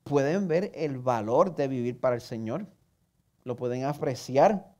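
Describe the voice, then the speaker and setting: A man's voice: medium pitch, lively intonation, measured pace, darkish tone. Male pastor, Puerto Rican accent, earnest sermon delivery, direct rhetorical questions, a touch of self-mocking humor. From Puerto Rico.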